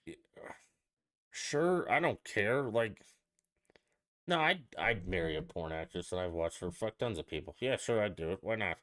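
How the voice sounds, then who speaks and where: A man's voice: on the low side, expressive, moderate pace, a bit bright. A man co-hosting a podcast, asking crude questions and bragging in casual banter, a little tipsy. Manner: brash, joking, boastful.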